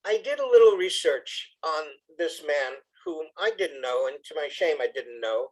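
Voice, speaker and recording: A man reading poetry and introducing it informally to an audience, in a measured, expressive way. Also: on the high side, rough recording. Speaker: congregation member reading poetry.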